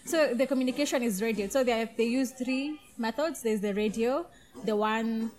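Higher-pitched, giggly woman co-host. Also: moderate pace, darkish tone. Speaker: podcast co-host. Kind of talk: casual chat.

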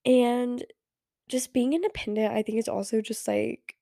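A woman's voice: a little high, steady tone, unhurried, mellow, no echo, studio quality. A teenage girl hosting a casual solo podcast, rambling with many fillers, her voice stuffy from a cold. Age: teens. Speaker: podcast host.

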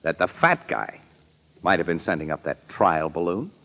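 A man's voice: deep voice, normal speed, vocal fry, heavily acted. A man playing an impatient, slangy American newspaperman in old-time radio drama style. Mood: pointed. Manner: slangy, curt, animated. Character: brisk, impatient hard-boiled newspaperman. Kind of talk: 1940s radio acting. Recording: muffled reverberant old radio broadcast.

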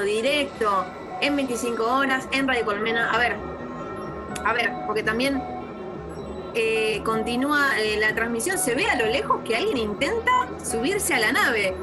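A woman's voice: on the high side, lightly acted. A woman playing an earnest radio anchor with an Argentine accent, hesitant and formal, hosting mock live news in a comic radio play. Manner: hesitant, formal, repeating herself. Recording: music behind the voice.

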